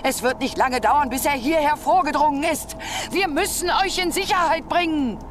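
Actress as an urgent, high-pitched female guard. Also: normal speed, slight background noise, natural voice. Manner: urgent, deferential. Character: alarmed, loyal female imperial guard. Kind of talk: fantasy game voice acting.

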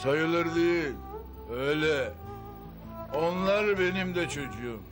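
A man's voice: on the high side, takes it slowly. An actor playing a drunk, sentimental and belligerent older brother in a TV drama, rambling and swearing as he repeats himself. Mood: maudlin. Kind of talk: Turkish TV drama acting. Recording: music behind the voice.

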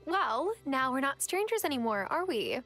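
An actress playing a friendly young woman new in town in a video game, casual, polite and gently wry. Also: sits high, sing-song, moderate pace, soft background music.

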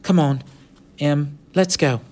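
A man's voice: a little high, slow, a little mellow, pitched above the performer's own voice. A man voicing a brave, earnest young schoolboy in a storybook reading. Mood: cool, casual.

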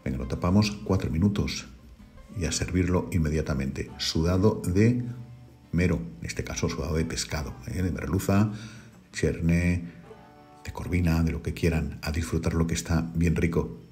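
A middle-aged man, hosting a home cooking video and calmly talking viewers through each step. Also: low voice, normal speed, faint music behind. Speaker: cooking channel host. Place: home kitchen.

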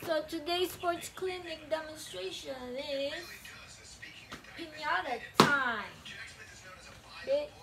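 A young woman rants at her phone in a small cluttered bedroom, swearing and confronting, pacing around as she speaks. Her voice is high and her delivery slow. The phone sits far from her and picks up clear background noise. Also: steady tone, over loud music. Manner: profane, confrontational, restless, moves around while talking. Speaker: vlogger. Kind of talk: angry profane rant to camera. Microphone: phone camera, often far away.

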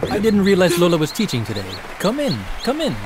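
A man playing a gentle, welcoming, proud father in an audio drama. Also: high voice, huge pitch swings, quick pace. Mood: warm, proud. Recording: faint ambient background noise.

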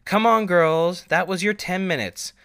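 A man's voice: high voice, unhurried, a bit bright, clean tone, no echo, studio quality, pitched above the performer's own voice. A man voicing a folksy mother pony, stern but loving, with a firm, teasing manner, in a fan fiction storybook reading.

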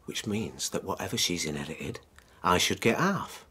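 A man acting a scheming, smooth-talking estranged husband in a naturalistic radio drama. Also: deep voice, big pitch swings, moderate pace, pushed and bright, heavily acted. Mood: calculating, bitter.

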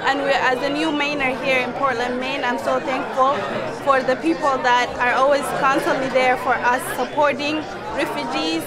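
A young woman from Sudan, an audience member, speaking articulately and gratefully in an interview. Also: high-pitched. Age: young adult. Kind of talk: interview statement. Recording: loud crowd chatter behind.

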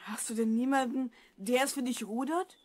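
A curious twelve-year-old boy played by a woman, with a fairly high voice. He is a slightly cautious young adventurer in a children's radio play. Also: steady tone, moderate pace, darkish tone, dry recording, natural voice. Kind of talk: children's audio drama acting.

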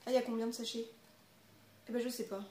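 High-pitched, chatty young French woman vlogger. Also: fairly even intonation, quick pace, mellow. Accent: French from France. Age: 20s. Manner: chatty, informal. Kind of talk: casual chat with reading aloud. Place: small living room at home. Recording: roomy echo, clear background noise.

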